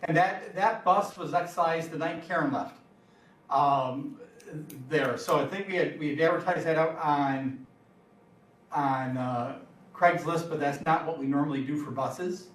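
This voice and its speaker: A man answering questions at a school board meeting, casual and halting. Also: on the high side, fairly bright tone. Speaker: school superintendent. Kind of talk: explaining at a meeting. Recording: distant echoey room mic, faint noise.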